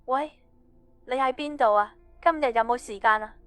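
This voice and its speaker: Woman doing a wistful young lady friend, high voice, plain understated delivery, dry audio drama. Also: normal speed, clean tone, no echo, faint music behind, natural voice. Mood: wistful, hesitant.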